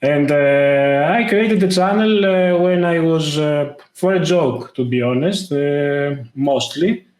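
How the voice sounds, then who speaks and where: A man talks casually as a guest, stopping often to hunt for words. His voice sits a little high for a man. The sound bounces around an echoey room. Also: a little mellow. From Greece. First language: Greek. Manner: halting, lots of "uh" fillers. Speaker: guest, tech YouTuber. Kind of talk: casual interview talk.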